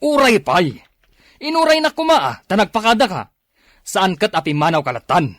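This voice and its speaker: Grumpy filipino dad in radio drama, scolding, higher voice that swings around, dry sound. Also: male voice, very animated, normal speed, fairly bright tone, no room sound. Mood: angry, scolding. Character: stern, short-tempered older father and husband.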